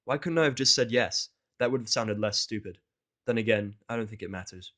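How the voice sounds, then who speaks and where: A man's voice: fairly low pitch, fairly quick, sharp tone. A man reading scripted first-person narration for an audio drama.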